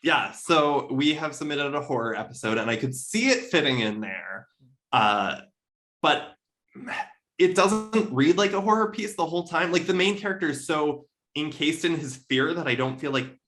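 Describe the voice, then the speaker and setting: A man's voice: a little high, lively intonation, moderate pace, fairly bright tone. A man speaking as a literary podcast guest in casual discussion, thoughtful and self-correcting, often checking his points with a tag question.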